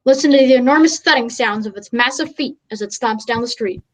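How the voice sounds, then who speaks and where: A woman playing an earnest, melodramatic, easily flustered radio news reporter in a comic radio play, halting and polite. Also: fairly high pitch, very noisy, lightly acted. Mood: breathless awe.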